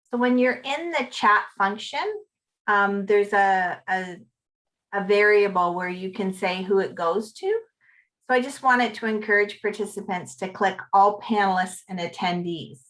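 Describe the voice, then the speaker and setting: A woman co-hosting a webinar who briefly cuts in with a quick, helpful tip. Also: mid-range voice, fairly bright tone, rough recording. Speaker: webinar co-host. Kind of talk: brief technical interjection. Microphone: video call.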